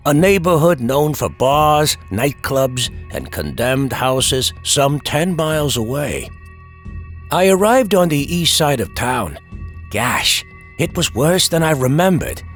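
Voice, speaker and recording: Streetwise first-person horror narrator played by a man: wry, dramatic, very varied intonation, soft music bed. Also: mid-range voice, exaggerated voice. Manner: dramatic, wry asides, shifting emphasis. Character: Butch, streetwise man recounting his frightening boyhood night.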